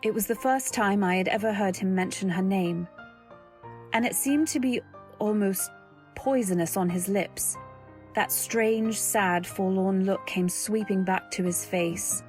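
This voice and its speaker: A woman narrating and acting a young wife in an old-time radio drama, earnest, anxious, and gentle. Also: medium pitch, a little slow, faint music behind. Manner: earnest first-person storytelling, anxious and gentle. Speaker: narrator and actor.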